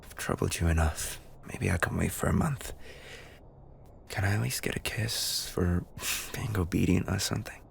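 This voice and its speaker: A man's voice: sits low, normal speed, vocal fry, a little hiss or hum. A man playing an injured young race-car driver boyfriend, tender and playfully needy, in an intimate roleplay audio. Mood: resigned, affectionate.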